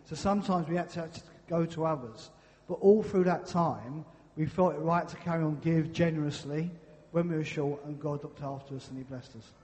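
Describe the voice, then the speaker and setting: A man's voice: a little high, brisk, a little mellow. Man giving testimony in a reverberant church. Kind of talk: personal testimony.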